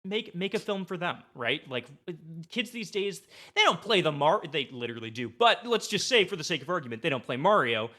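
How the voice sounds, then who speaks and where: A man chatting casually as a podcast guest, effusive and animated, stumbling over his words with enthusiasm. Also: on the high side, a little fast, few pauses.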